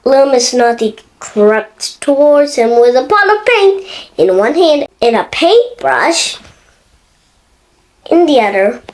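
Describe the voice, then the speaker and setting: Small girl, six, high voice, animated storybook read-aloud at an unhurried pace with little stumbles. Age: child, six years old. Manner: expressive, with stumbles and self-corrections. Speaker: young storybook reader. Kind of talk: reading a picture book aloud.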